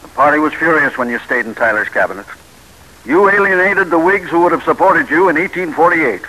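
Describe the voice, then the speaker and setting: Urgent, husky political ally. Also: male voice, a little high, animated, fairly quick, sharp tone, poor audio. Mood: urgent, persuasive. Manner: urgent, persuasive. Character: earnest, pressing male political ally urging an old friend. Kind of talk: old-time American radio drama acting.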